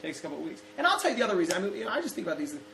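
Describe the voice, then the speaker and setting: A man hosting a casual chat, posing hypothetical questions with many halting restarts. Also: high voice, very animated, creaky, fairly bright tone, roomy sound, slight background noise. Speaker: host asking hypothetical questions.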